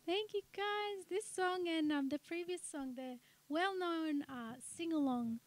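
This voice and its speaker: A soft-spoken woman hosting a casual chat, with a handheld mic in a television studio. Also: extremely high pitch, a little slow. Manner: soft-spoken, says she has a quiet voice. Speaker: host. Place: dark television studio with a small group seated. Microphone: handheld microphone.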